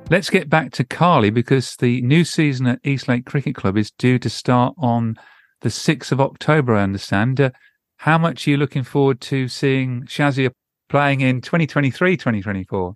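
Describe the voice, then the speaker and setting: A man with a smooth, clear voice in a middle register hosts a podcast interview. He talks conversationally, and the sound is dry with little room in it. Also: medium pitch, moderate pace, no room sound.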